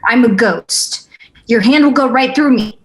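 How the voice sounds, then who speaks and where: A woman's voice: on the high side, measured pace, close to an everyday voice. A woman playing a frantic, insistent young woman who believes she is a ghost, in a script table read. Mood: insistent, excited.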